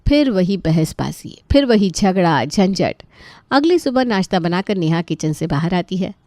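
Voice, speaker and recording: A woman's voice: fairly low pitch, sing-song, measured pace, mellow, no echo, clean studio sound. A woman reading scripted story narration.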